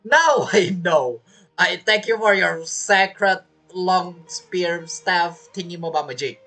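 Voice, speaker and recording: Young male gamer, very animated, playful. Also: high-pitched, slow. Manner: joking, playful, reacting aloud to the game. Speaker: gaming streamer. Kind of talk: casual playthrough commentary.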